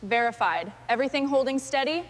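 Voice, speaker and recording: A woman's voice: high voice, lively intonation, a little fast, a little hiss or hum. A woman speaking as a garage mechanic, giving terse procedural call-outs in a businesslike, instructional way.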